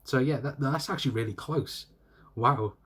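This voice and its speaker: A man's voice: mid-range voice, normal speed, a bit bright, husky. A young man from South Wales with a South Welsh accent, hosting a solo fan livestream and reading chat comments in a rambling, repetitive, friendly way. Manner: rambling, repeats himself, friendly to chatters. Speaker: fan channel host.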